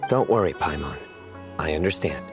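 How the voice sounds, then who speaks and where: An actor playing a composed man with a deadpan love of puns in a video game, speaking in a measured way. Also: very deep voice, music in the background. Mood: deadpan teasing. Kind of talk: video game character voice acting.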